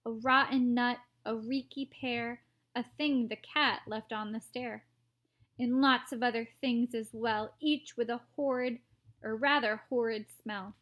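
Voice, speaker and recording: A woman's voice: fairly high pitch, fairly even intonation, unhurried, muffled recording, close to an everyday voice. A woman voicing a gleefully moralising chorus of little workers, delivering rhyming verse in a mocking sing-song. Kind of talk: rhythmic rhyming verse recitation.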